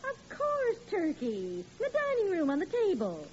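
A woman's voice: extremely high pitch, huge pitch swings, moderate pace, exaggerated voice. A woman playing a cheerful, teasing wife and mother in a 1940s radio comedy. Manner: bright, teasing and affectionate. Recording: dull lo-fi vintage radio broadcast with faint hiss.

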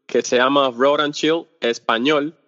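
A Cuban man answers interview questions in a relaxed, unhurried way, stumbling through fillers and restarting half-finished thoughts. His voice sits in the middle range and carries a long reverb tail. The whole recording sounds dull and lo-fi. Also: mid-range voice. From Cuba. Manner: hesitant, searches for words with many fillers and restarts. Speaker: podcast guest, off-road video creator. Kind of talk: casual interview talk.

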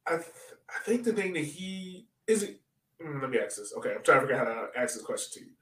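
A man's voice: a little high, very animated, fast, a bit bright. A man's voice sounds roomy and set back from the microphone, with plenty of echo. He talks like a podcast co-host in casual sports chat, telling stories in loose, slangy speech. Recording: roomy echo, distant from mic.

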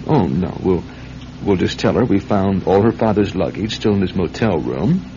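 An actor playing a dry, teasing policeman sidekick with a gravelly voice in a radio mystery. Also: sits low, expressive, moderate pace, warm tone. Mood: deadpan. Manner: dry, droll. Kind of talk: radio mystery drama acting. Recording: dull, faintly noisy radio recording.

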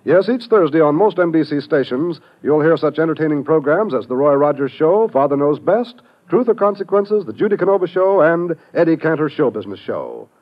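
A man with an American accent reading scripted copy as a polished, formal 1950s network radio announcer. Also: fairly high pitch. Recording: muffled vintage radio recording.

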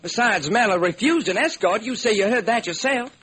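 A man's voice: high voice, lively intonation, fairly quick, fairly bright tone, husky, voice pushed up, heavily acted. A man voicing a weary, exasperated American police lieutenant in an old-time radio crime drama. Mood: weary, resigned.

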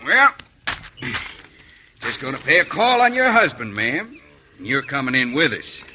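An actor playing a cocky, menacing outlaw gang boss with a mocking Western American drawl in an old-time radio western. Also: male voice, mid-range voice, very animated, measured pace, over music. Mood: commanding. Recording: vintage radio recording, faint hiss.

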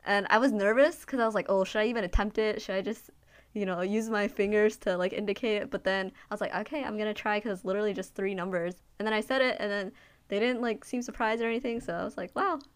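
A woman's voice: mid-range voice, steady tone, fast, smooth. Young woman, casual chat, reflective and hesitant storytelling. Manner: reflective, hesitant, many fillers.

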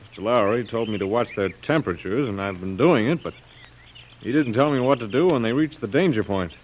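An actor playing a worried, earnest aide on a jungle trek, speaking carefully and plainly in 1930s radio adventure style. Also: male voice, mid-range voice, lively intonation, a little fast, natural voice. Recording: muffled, noisy old radio recording.

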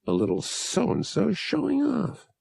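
Casting: man as brash smuggler pilot, husky and gravelly, sardonic, blunt, audiobook sci-fi. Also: high-pitched, wildly swooping pitch, measured pace, darkish tone, no echo, clean studio sound, pitched above the performer's own voice, heavily acted. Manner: gruff, exasperated, blunt.

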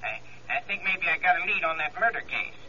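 A man's voice: on the high side, animated, a little fast, sharp tone, dull audio, slight background noise. A man playing a nervous, hesitant mine boss on the telephone in an old-time radio drama. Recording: thin telephone sound, old radio recording.

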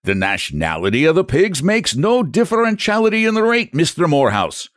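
A man's voice: a little high, huge pitch swings, a little fast, no echo, clean studio sound, pitched above the performer's own voice. A man voicing a stubborn, rule-bound Irish express agent who speaks in thick dialect and mocks the customer. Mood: stubborn mocking.

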